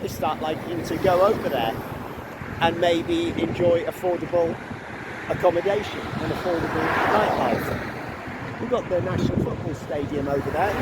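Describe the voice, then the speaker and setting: Slow-talking man vlogging on noisy streets. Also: a little high. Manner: chatty, unhurried commentary with asides. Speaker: travel vlogger. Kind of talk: walking travel vlog narration. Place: outdoors on city streets by a river. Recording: noisy outdoor street recording. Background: loud traffic and city noise.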